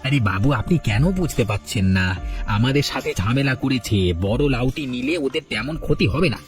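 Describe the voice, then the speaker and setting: A man's voice: mid-range voice, a little fast, close to an everyday voice. A man voicing a scheming servant in a cartoon, sly and spiteful toward the neighbours. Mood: insistent. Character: scheming servant egging on his boss. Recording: music under the voice.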